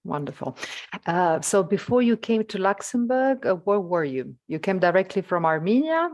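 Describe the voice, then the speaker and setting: A woman's voice: medium pitch, big pitch swings, moderate pace, a little mellow. A woman hosting a conversational interview, putting questions to her guest. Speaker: interviewer, host.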